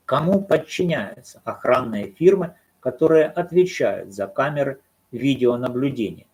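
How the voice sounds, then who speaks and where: A man giving solo commentary on a court case, arguing his points in a measured, deliberate way and answering his own rhetorical questions. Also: medium pitch, darkish tone. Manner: argumentative, poses rhetorical questions and answers them.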